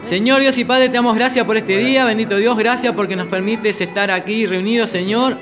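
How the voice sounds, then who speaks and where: A man's voice: sits high, fairly even intonation, brisk, music in the background. An older man with a Rioplatense Spanish accent, leading a Bible study group and its spoken prayer in an earnest, questioning way.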